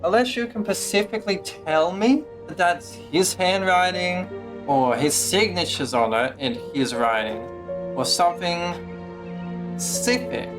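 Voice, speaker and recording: A woman's voice: on the low side, measured pace. A woman game streamer giving casual live commentary in a blunt, skeptical, sweary way. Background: game music.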